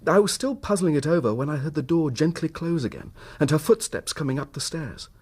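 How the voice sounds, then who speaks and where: An actor playing a troubled, suspicious husband in a radio drama, speaking in formal, precise phrases. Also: male voice, a little high, fairly quick, a little mellow, husky. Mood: grave, puzzled. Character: troubled, suspicious husband recounting his wife's secret.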